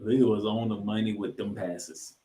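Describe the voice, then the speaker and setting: A man co-hosting a sports podcast, recapping football and reading stats with emphatic, drawn-out key figures. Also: lowish voice, steady tone, dark tone. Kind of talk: football recap commentary with stat read-outs. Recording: echoey, roomy sound.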